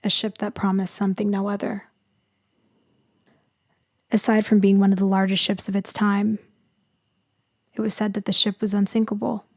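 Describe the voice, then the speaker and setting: A woman playing a gentle, melancholy immortal female vampire in a sleep ASMR roleplay, speaking softly and intimately, often slipping into a whisper. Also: mid-range voice, level tone, dry recording, studio quality, lightly acted. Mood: wry storytelling. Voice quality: soft, breathy, often whispering. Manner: slow, hushed, wistful, intimate.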